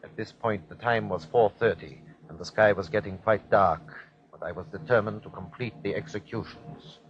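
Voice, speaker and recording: A man's voice: fairly low pitch, normal speed, close to an everyday voice. Man acting ruthless German captain, dull old recording. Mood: cold, reporting. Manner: commanding, clipped, declamatory. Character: ruthless, fanatical German army captain commanding executions. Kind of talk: old-time radio drama acting. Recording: dull vintage radio recording.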